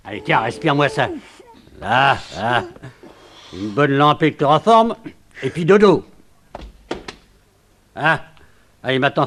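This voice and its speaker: An actor playing a rough, mockingly sweet cabman and kidnapper in a colloquial, sneering way, in a 1960s French radio play. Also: fairly high pitch, a bit bright, slight background noise. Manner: colloquial, falsely obliging, sneering.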